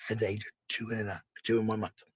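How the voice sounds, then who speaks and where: A man's voice: fairly low pitch, flat delivery, fast, breathy edge, no room sound. A man telling personal stories in a casual, blunt, defensive way, with some swearing. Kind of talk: casual personal storytelling and venting.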